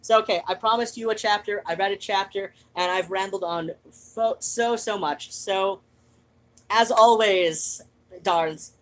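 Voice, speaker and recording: A woman hosting a show, chatting casually in an animated, digressive, freely swearing way. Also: medium pitch, a little slow, poor audio. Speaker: host of a reading and chat show. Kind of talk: rambling casual personal chat.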